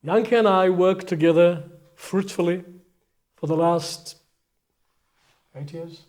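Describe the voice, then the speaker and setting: A middle-aged Hebrew-speaking man with an Israeli accent, teaching empathy in short, deliberate statements that pause for an interpreter. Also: sits high, darkish tone. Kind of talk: lecture with live interpreter.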